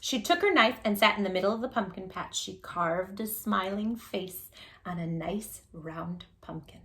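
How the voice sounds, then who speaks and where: A woman hosting storytime, reading a picture book aloud expressively and chatting playfully with young listeners. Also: lowish voice. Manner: playful, animated, addressing children directly. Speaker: children's storytime reader and host.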